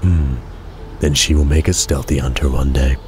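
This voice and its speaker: Big father lion for an animated dub, played by a man, gentle rumble, bottom-register voice, slight background ambience. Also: normal speed. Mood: fond. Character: calm, fatherly big adult male lion. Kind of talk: comic dub character acting.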